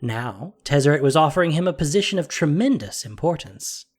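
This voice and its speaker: A man narrating a scripted fantasy story in an expressive, dramatic way. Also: on the high side, a little fast.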